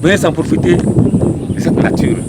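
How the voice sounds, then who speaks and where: Malian man, lively interview voice, slightly high, heavy outdoor wind on the track. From Mali. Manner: expressive, repeats words, halting restarts. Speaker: interviewee, local community figure. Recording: loud wind and outdoor noise.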